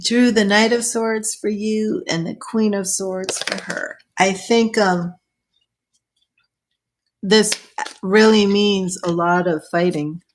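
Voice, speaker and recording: A woman reading tarot cards on a live stream. She chats casually and thinks aloud, and her voice is getting worn and a little hoarse so she keeps clearing her throat. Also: mid-range voice, fairly even intonation, takes it slowly, a little hiss or hum. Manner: chatty, thinking aloud, with small laughs. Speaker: tarot reader.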